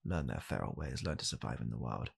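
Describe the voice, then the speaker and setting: A young British man in a hushed, halting, deadpan comedic ASMR roleplay, playing a bitter, weary father coldly blaming his child. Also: rumbling low, gravelly, slightly rough. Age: young adult. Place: small home room, indoors.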